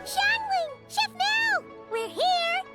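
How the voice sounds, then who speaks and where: A tiny fairy companion chirps in an extremely high, squeaky voice. Her delivery is bubbly, childlike and full of swoops, as in a video game, with music playing behind her. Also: very animated, slow, pushed and bright, heavily acted. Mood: excited. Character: tiny excitable girl fairy companion who speaks of herself by name.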